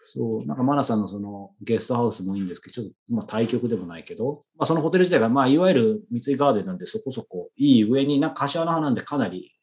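A man speaks as a podcast host in a casual chat. He talks quickly at a mid-range pitch, with an informal, chatty manner. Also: lively intonation, darkish tone.

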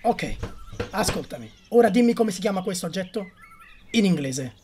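A man's voice: high voice, expressive, moderate pace, darkish tone, slight background noise. A young man dubbing an exasperated, pedantic and whining friend in an amateur comedy sketch. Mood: impatient. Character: exasperated young man losing his temper over a friend's mispronunciation.

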